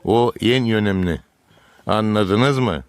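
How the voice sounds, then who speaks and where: Slow-spoken, patient cartoon bandleader. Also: male voice, on the low side, dry recording. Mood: instructing. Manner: measured, instructing. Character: patient, encouraging male music leader. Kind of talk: animated cartoon voice acting. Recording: music behind the voice.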